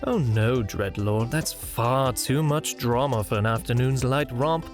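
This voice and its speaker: A man's voice: medium pitch, measured pace, faint music behind, lightly acted. A man playing a suave, languid, smug prince in a comic dub. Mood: breezy. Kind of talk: comic dub character acting.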